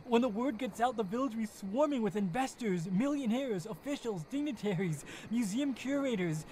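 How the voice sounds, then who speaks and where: A man's voice: very high-pitched, lively intonation, normal speed, warm tone, a little hiss or hum, poor audio. A man voicing an eager scholarly colleague in a comic dub, gushing with enthusiasm. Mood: excited. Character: eager, excitable scholarly colleague called Charles.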